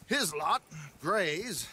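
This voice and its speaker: An actor playing a chatty, shifty drifter in a western game cutscene, with florid, effusive phrasing. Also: male voice, high-pitched, animated, drawn-out words, sharp tone, a little hiss or hum, full character voice. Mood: wry, complaining. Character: shifty, grateful, chatty male swindler drifter, old acquaintance of outlaws.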